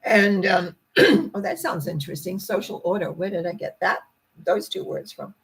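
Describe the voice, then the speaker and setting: An older woman with a hoarse, croaky voice, giving an informal spiritual talk to camera in a rambling, folksy way with asides. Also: medium pitch, sing-song, normal speed. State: hoarse after a treatment, clearing throat. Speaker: host, tarot reader and spiritual teacher.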